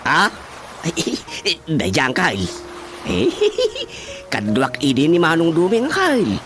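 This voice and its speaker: A man's voice: on the high side, wildly swooping pitch, slow, heavily acted. A man with an Ilocano accent playing a giggly, lovestruck, simple-hearted farmhand nephew in a radio comedy drama. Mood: excited. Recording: clear music behind the voice.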